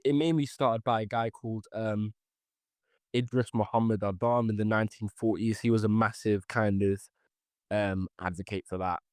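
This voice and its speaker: A man's voice: on the low side, darkish tone, dry recording, clean studio sound. Hesitant young man explaining history. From Ethiopia and Eritrea. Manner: hesitant, many ums and restarts. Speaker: podcast guest. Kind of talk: casual explanatory chat.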